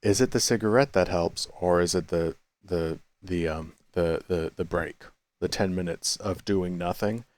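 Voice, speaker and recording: Low-voiced man musing to himself. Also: measured pace, a little mellow, dry recording. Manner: thinking aloud, many restarts and self-corrections; quits smoking, chews sunflower seeds. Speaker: vlogger. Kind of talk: rambling reflective monologue. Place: cafe.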